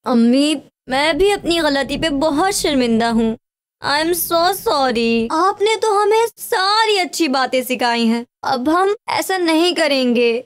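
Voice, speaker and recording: A woman voicing a mischievous, excitable little boy in a children's cartoon, with bouncy, exclaiming delivery. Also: high voice, slow. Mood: sheepish.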